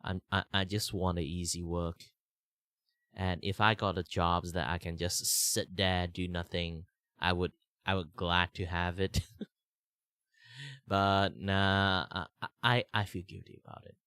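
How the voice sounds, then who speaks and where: Young male streamer, deep and slightly sick-sounding, casual rambling talk, dry mic. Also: flat delivery, measured pace, pushed and bright, smooth, no room sound. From Malaysia. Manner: rambling, many fillers and restarts. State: slightly sick, rough throat. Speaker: art streamer.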